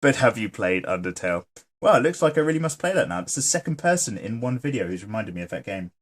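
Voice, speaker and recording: A man's voice: on the low side, sing-song, fast talker. A man hosting his own channel, chatting casually and joking while he sketches and reads viewer comments aloud. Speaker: artist and channel host.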